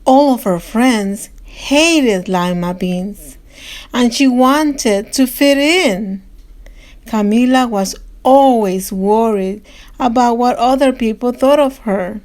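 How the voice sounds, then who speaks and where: A woman reading a children's story aloud as a storyteller, in an expressive, deliberate manner. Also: a little high, no echo.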